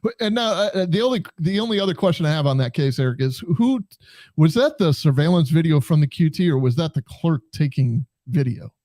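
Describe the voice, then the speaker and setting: A man from Western New York co-hosting a podcast, speaking in a wry, relaxed way and setting up a story with fillers. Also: on the high side, fairly quick, dry recording. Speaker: podcast co-host.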